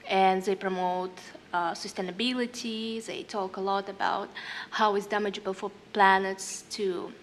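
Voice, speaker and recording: Woman speaking halting non-native English. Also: mid-range voice, little pitch movement, slight background noise. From northern Kazakhstan. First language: Russian. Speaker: panelist musician. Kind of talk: panel talk with read-aloud diary. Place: hall panel stage.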